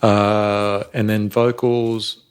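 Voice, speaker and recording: A man who lives in Australia, talking at length as a guest in a relaxed podcast interview, with many fillers and restarts. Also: lowish voice, very slow, clean tone. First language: Spanish spoken at home, English main. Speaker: recording engineer guest.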